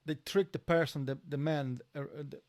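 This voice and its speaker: A middle-aged man with a Polish accent speaks English as a second language, chatting casually as a podcast guest with halting phrasing, repeated words and many fillers. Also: fairly high pitch, steady tone, darkish tone. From Poland. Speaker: podcast guest, door security worker.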